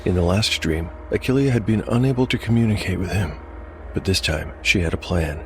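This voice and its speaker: A man narrating a scripted dark fantasy tale as game master, with measured storytelling delivery. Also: low-pitched, noisy background. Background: music.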